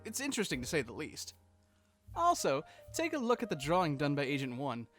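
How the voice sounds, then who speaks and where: A man co-hosting a fan breakdown, giving recap commentary in a playful, excitable and cheeky way. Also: a little high, brisk, soft background music. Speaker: co-host of a fan breakdown show.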